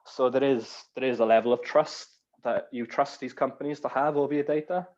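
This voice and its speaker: Welsh man talking relaxed on a call. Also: medium pitch, a little fast, dull audio. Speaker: guest speaker on cyber security. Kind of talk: casual online panel chat.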